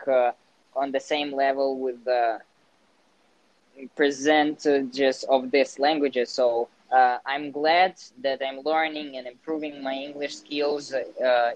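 A teenage man with a Russian accent, a student living abroad, speaking English hesitantly with many pauses and fillers. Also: medium pitch, fairly even intonation, highs cut off, a little hiss or hum. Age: teens. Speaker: interviewee, exchange student. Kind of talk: casual conversation.

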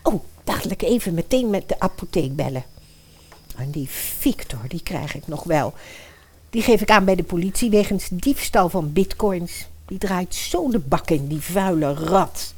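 A woman's voice: fairly low pitch, animated, measured pace, darkish tone, slightly rough, heavily acted. An actress playing a spiteful, scheming stepmother in a comic fairy-tale audio drama, muttering and exclaiming to herself. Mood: gloating, scheming.

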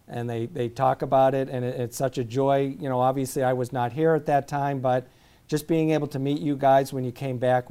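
A man's voice: mid-range voice, dark tone, smooth. A man interviewing a former player, asking long, rambling questions full of fillers. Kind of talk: casual interview.

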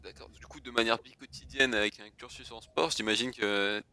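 A man's voice: on the low side, fairly even intonation, brisk, gravelly, pushed and bright. A man hosting a podcast interview, asking questions casually with loose, restarting phrasing.

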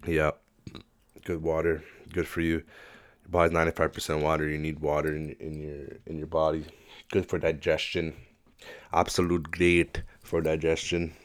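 A man's voice: rumbling low, monotone, a little slow, long pauses, fairly bright tone. A man with a stuffy nose talking into a desk microphone, rambling through a casual solo rant and swearing constantly. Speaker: podcast host. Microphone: desk microphone at a laptop.

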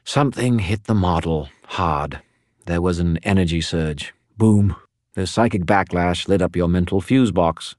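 A man's voice: low voice, measured pace, a little mellow, no echo, studio quality. A man voicing a chatty, breezy male spirit living in a skull. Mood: glib.

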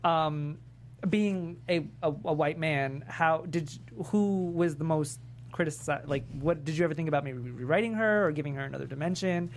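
Male panelist, fairly high voice, hesitant, careful phrasing, casual talk, slight hum behind. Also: normal speed. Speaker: panelist on a script table-read show. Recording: faint background noise with hum.

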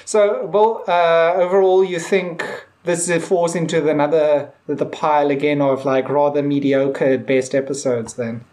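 A man's voice: fairly high pitch, steady tone, moderate pace, hardly any pauses, a little mellow. Hesitant, rambling male podcast host. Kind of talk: casual chat.

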